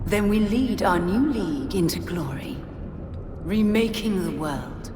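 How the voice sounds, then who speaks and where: A woman's voice: on the low side, animated, unhurried. An actress playing a scheming, commanding female villain in a video game, speaking with cold deliberation. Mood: triumphant, commanding. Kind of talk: dramatic video game cutscene acting. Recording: echoey hall reverb, loud background noise.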